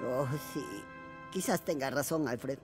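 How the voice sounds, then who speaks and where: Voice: mid-range voice, a little slow, over music, close to an everyday voice. A performer voicing a sluggish, childish male superhero in a Latin American Spanish cartoon dub, groaning and listless. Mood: glum. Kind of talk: animated cartoon dubbing.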